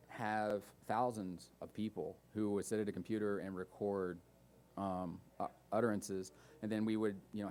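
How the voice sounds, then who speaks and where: Male conference speaker, low voice, relaxed Q&A delivery. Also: slight background noise. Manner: relaxed, lots of fillers and asides. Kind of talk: casual technical talk with audience questions. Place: conference room.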